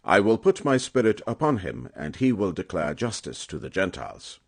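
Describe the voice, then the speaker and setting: A man narrating scripture as a dramatic audiobook reading, with a solemn, emphatic and measured oratorical delivery. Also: low voice, big pitch swings, husky.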